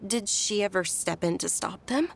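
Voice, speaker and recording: A woman voicing a gentle, concerned young noblewoman in a video game, polite, earnest and soft-spoken. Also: medium pitch, normal speed, vocal fry, a little hiss or hum, natural voice. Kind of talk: video game voice acting, story dialogue.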